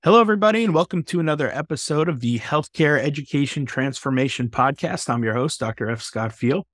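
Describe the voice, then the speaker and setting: A man's voice: a little high, lively intonation, quick pace, fairly bright tone, no echo. A man from Texas hosting a podcast, casual and enthusiastic, with frequent fillers. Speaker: podcast host.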